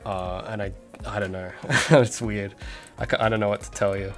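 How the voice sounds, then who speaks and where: A man's voice: fairly low pitch, fairly even intonation, normal speed, fairly bright tone, faint music behind. A man from Australia, an indie game developer, chatting casually on a livestream, rambling with many fillers and restarts. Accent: Australian with some American sounds.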